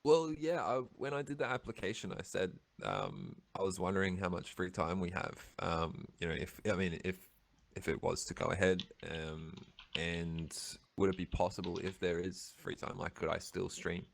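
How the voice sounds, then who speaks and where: A man chatting casually as a guest on an online show, rambling with many pauses and restarts. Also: sits low, lively intonation, vocal fry. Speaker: guest streamer. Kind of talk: casual chat.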